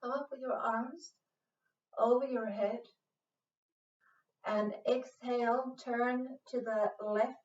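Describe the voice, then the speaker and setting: A woman from Sweden with a Swedish accent, a native Swedish speaker, teaching a yoga class in English, speaking slowly and patiently with frequent hesitations. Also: on the high side, fairly even intonation, darkish tone, reverberant, recorded from a distance, lo-fi sound. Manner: slow, patient, with many hesitations. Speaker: yoga instructor. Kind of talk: guided yoga class instruction.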